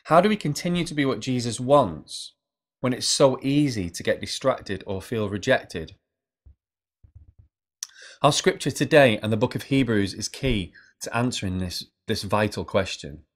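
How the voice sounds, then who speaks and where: A man in his thirties with a mid-pitched voice gives a sermon for all ages. He talks straight to his listeners and often puts questions to them, in an engaging, direct manner. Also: moderate pace. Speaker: preacher. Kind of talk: all-age sermon, addressing kids and adults. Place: small plain indoor room.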